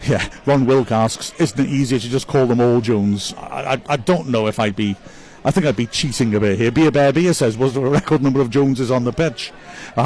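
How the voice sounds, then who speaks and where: A man's voice: mid-range voice, darkish tone, poor audio. Chatty man commentating a match. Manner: chatty, upbeat, praises players one by one. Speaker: club commentator. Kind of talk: live match commentary reading viewer messages.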